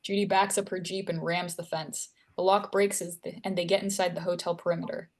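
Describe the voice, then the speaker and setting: A woman's voice: lowish voice, flat delivery, a little fast, darkish tone. A woman narrating stage directions from a screenplay at a table read.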